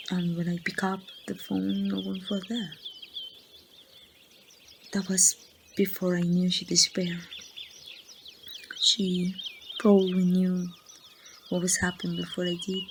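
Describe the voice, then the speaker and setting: A woman playing a grieving young woman confiding in her lost best friend in a halting, wistful ASMR roleplay monologue. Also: lowish voice, creaky, noisy background. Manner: slow, halting, wistful storytelling. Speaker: amateur voice actor.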